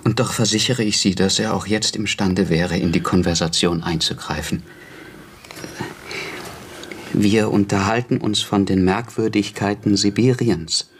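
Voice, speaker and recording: An actor playing a devoted, solicitous attendant to an ailing philosopher in a radio play, speaking in formal, precise old-fashioned phrases. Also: male voice, fairly low pitch, normal speed, hardly any pauses, slight background noise, close to an everyday voice. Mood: earnest assurance. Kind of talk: German radio play acting.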